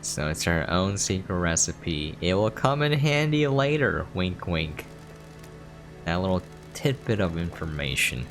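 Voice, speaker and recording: A man's voice: sits low, very animated, measured pace, a bit bright. A man giving casual commentary as he hosts a gameplay preview. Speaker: game preview host. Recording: music behind the voice. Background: game music.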